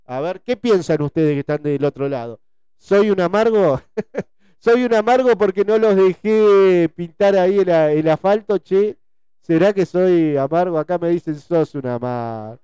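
Smooth-voiced Rioplatense man, mellow and fairly high. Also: animated, unhurried, dry recording. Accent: Rioplatense Spanish. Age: 40s. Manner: repetitive, conversational, often seeking agreement with tag questions. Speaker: host chatting with his audience. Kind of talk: casual live chat, reading listener messages.

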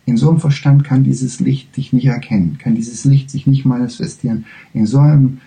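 A man giving a reflective spiritual lecture, halting and searching for words, with frequent restarts, in a language he says he does not speak fully fluently. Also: mid-range voice, steady tone, vocal fry, warm tone.

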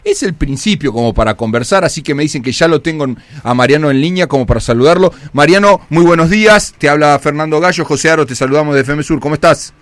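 A man's voice: on the high side, fast talker, few pauses, no room sound. A man with an Argentine Spanish accent, hosting a radio show and interviewing a guest in a conversational way. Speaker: radio host.

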